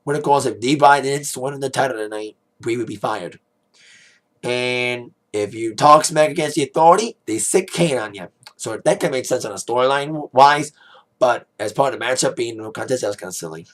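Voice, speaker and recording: A man with a raspy, worn-out voice giving a casual spoken review, rambling with plenty of "like" and "uh". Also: medium pitch, moderate pace. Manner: rambling, heavy on "like", "uh" and "you know". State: hoarse, voice fading after a DJ gig. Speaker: wrestling pay-per-view reviewer.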